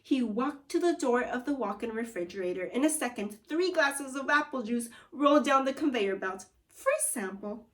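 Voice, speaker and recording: A woman teacher reading a children's story aloud and guiding pupils, expressive and instructive with deliberate phrasing. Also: high-pitched, far from the mic. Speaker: second grade teacher.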